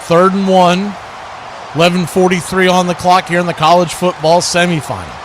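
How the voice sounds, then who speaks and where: High-pitched man calling football, noisy background. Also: moderate pace. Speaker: play-by-play announcer. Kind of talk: live football play-by-play commentary. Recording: clear steady background noise.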